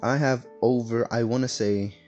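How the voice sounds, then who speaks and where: A man's voice: mid-range voice, fairly even intonation, moderate pace, darkish tone, dull audio, soft background music. A man casually chatting while streaming a game, rambling with lots of fillers and self-corrections. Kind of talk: casual gaming stream chat. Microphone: laptop streaming setup.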